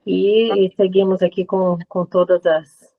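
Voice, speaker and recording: A woman from Brazil, a lawyer introducing herself in a webinar, brisk and self-correcting. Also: medium pitch, level tone, vocal fry. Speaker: lawyer guest. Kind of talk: webinar presentation. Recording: dull lo-fi webinar audio.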